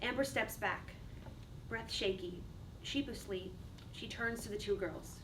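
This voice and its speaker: A woman's voice: mid-range voice, a little slow. A woman reading stage directions as a scripted dramatic narrator. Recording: distant mic, faint background noise.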